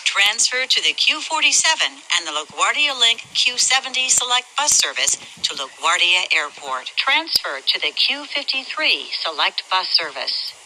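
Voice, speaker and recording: American woman, train announcer, very bright and crisp tone, slow measured phrasing, scripted delivery, noisy speaker recording. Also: mid-range voice, sing-song. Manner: measured, clearly enunciated, segmented phrasing. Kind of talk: scripted automated transit announcement.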